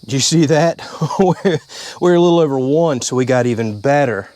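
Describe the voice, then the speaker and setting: A man's voice: medium pitch, expressive, moderate pace, darkish tone, dry recording. Man explaining radio tuning step by step. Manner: plain-spoken, step-by-step explaining. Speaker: channel host. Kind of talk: casual how-to vlog. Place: inside a vehicle.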